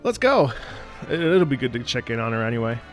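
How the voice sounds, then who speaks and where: A man's voice: mid-range voice, very animated, a little fast, faint music behind. A man giving casual gameplay commentary as a streamer, with a wry, sarcastic way of talking. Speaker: streamer reacting to a game, former opera singer.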